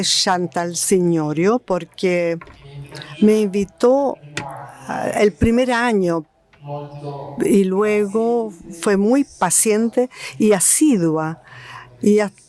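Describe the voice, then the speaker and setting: Slow, measured female interpreter. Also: mid-range voice, dark tone. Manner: measured, with pauses and fillers. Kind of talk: live interpretation of a talk.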